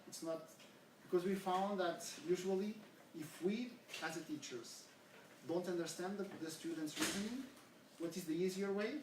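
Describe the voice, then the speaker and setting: A man's voice: high-pitched, normal speed. A middle-aged man from Portugal with a Portuguese accent, giving an earnest academic seminar talk in English and asking the audience questions. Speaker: education researcher presenting. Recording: echoey room, from far off, faint hiss.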